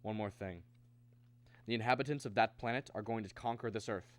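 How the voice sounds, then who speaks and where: A man's voice: lowish voice, a little fast, close to an everyday voice. An actor playing an earnest husband and father who narrates his alien encounter in a 1950s radio drama style. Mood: subdued, resigned. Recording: old radio drama with music behind.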